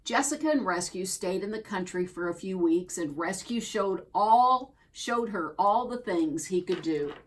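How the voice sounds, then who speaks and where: A woman reading a children's picture book aloud as a storyteller, expressively and deliberately, drawing out key words. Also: mid-range voice, lively intonation. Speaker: storybook reader.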